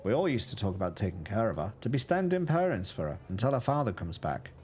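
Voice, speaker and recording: A man's voice: on the low side, sing-song, fast talker, darkish tone, a little hiss or hum. Man doing a gossipy market greengrocer woman with an accent. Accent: a put-on regional accent. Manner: gossipy, sighing, chatty. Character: plump kindly middle-aged market greengrocer woman.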